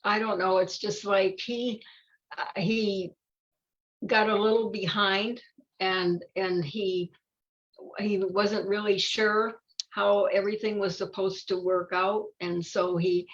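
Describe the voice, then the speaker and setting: An older woman talking informally about a picture book, halting and repeating her words. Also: medium pitch, fairly even intonation. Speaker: volunteer story reader. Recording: dull lo-fi audio.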